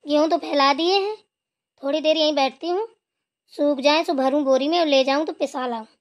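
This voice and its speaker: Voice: high-pitched, a little fast, dry recording, studio quality, close to an everyday voice. A performer voicing a dutiful, overworked village daughter-in-law in a Hindi cartoon, chatty and deferential. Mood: matter-of-fact. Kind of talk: Hindi family cartoon dialogue.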